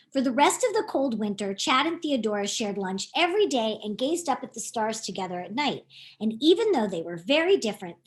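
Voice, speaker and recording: A woman reading a children's book aloud in an expressive, playful storytime style, chatting to young listeners as she goes. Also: fairly high pitch. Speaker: author reading her own children's book.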